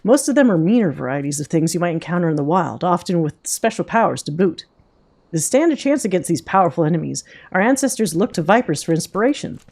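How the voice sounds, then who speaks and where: A woman's voice: on the low side, very animated, fast, a little mellow. A woman playing a folksy, confident monster-hunter mentor. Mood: instructive. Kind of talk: reading game dialogue in character.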